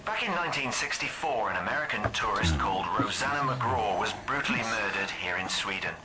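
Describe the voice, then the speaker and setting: An actor playing an earnest, provocative local radio presenter giving a dramatic, rhetorical broadcast. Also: male voice, on the low side, lively intonation, a little fast, very noisy, close to an everyday voice. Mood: grave. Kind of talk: news-style radio broadcast within a drama.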